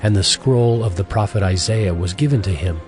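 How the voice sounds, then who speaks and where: A man narrating scripture from a script in a measured, formal manner. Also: lowish voice, over music.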